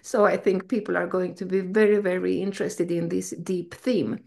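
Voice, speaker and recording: Gravelly-voiced woman, enthusiastic interviewer. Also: lowish voice, fairly even intonation, moderate pace, darkish tone. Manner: enthusiastic, effusive. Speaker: festival host interviewer. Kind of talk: casual interview.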